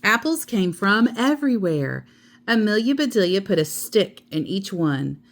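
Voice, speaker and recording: Female storyteller for picture-book narration, mid-pitched, expressive and animated, taking her time. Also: measured pace. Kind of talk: expressive children's book read-aloud.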